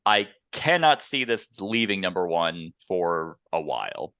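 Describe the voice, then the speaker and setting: A man's voice: deep voice, very animated, measured pace, a bit bright, smooth, no echo. A man chatting casually and animatedly as a board game show host, rambling like a storyteller. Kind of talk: casual chat.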